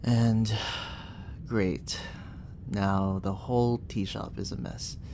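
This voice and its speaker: A man's voice: on the low side, warm tone, slight background noise. A young man playing a flustered, protective barista who is secretly a time agent, in an ASMR sci-fi roleplay, speaking with stammers. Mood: weary, apologetic. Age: young adult. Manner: stammering, breathy sighs and nervous laughs. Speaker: roleplay actor.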